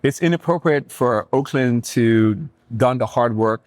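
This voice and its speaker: A middle-aged man from Jamaica talking reflectively as an interview guest, pausing often mid-thought. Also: mid-range voice, no echo. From Kingston, Jamaica. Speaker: restaurateur and community leader, podcast guest.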